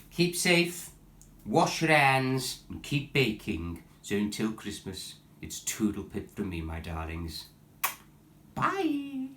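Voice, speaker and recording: A man's voice: mid-range voice, bright, forceful tone. A man playing a camp, theatrical pantomime dame on a cooking show, recorded in a roomy space from a distance.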